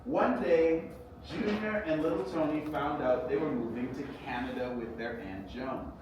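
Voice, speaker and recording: A man reads a children's story aloud as its author, theatrically, with expressive delivery and dramatic pauses. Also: on the high side. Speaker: author reading own book. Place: echoey indoor event space. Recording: echoey room, distant mic, noisy background.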